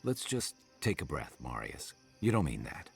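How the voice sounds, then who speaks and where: A man acting a level-headed adventuring companion in a video game, speaking in a measured, calm manner. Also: extremely low pitch, gravelly, faint music behind, exaggerated voice. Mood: measured concern. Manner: measured, weighing risks calmly.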